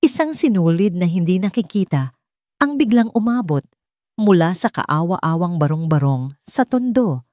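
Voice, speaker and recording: A woman's voice: lowish voice, lively intonation, moderate pace, dark tone, no echo, studio quality. A woman with a Filipino accent reading a scripted dramatic story as an audiobook narrator.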